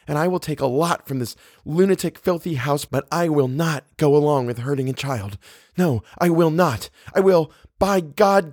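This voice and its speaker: A man's voice: mid-range voice, darkish tone, no echo, studio quality, pitched above the performer's own voice. A man voicing a terrified woman in an audiobook horror story, desperate and intense as she fights to stay rational and defiant. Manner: intense, emphatic inner monologue breaking into cries.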